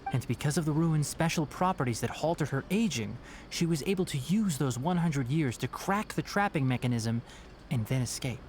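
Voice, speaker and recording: Man acting an earnest scholar, lightish expressive voice. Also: on the high side, a little hiss or hum, close to an everyday voice. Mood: solemn, explanatory. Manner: measured, explanatory. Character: earnest young male scholar, thoughtful, respectful storyteller. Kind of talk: video game voice acting, storytelling.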